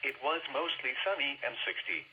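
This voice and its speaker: A man's voice: mid-range voice, big pitch swings. Muffled male weather radio announcer, very noisy. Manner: formal, measured reading of reports. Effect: played through a small radio speaker. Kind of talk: scripted weather bulletin. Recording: muffled radio broadcast under heavy noise.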